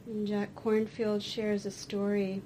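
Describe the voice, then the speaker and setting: A woman teaching a guided meditation, speaking deliberately in short phrases with pauses between them. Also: medium pitch, monotone, mellow, a little hiss or hum, poor audio. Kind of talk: guided meditation and dharma talk.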